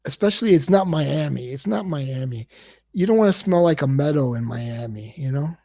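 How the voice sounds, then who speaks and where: A man's voice: a little high, animated, moderate pace, darkish tone. A man hosting a casual livestream, rambling and repeating words, and he says he stutters. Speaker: livestream host.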